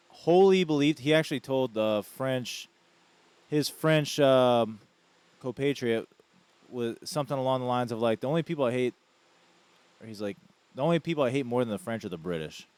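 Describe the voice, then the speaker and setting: Smooth-voiced man telling slangy stories. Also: medium pitch, dry recording. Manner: animated storytelling, slangy, says "dude". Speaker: podcast co-host. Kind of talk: casual podcast chat.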